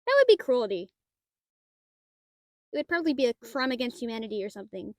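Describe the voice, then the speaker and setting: A woman's voice: fairly high pitch, very animated, fairly quick, a little mellow, dry recording. A woman playing a shy, nerdy, rule-bound half-dragon librarian, flustered and rambling with dry wit in an audio roleplay. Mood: awkward, scheming. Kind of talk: scripted audio roleplay addressed to the listener.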